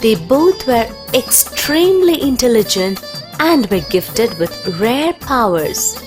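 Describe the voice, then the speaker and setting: A woman narrates a children's tale in a high, expressive voice. She takes it slowly, with clear music playing behind her. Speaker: story narrator. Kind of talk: scripted children's storytelling narration.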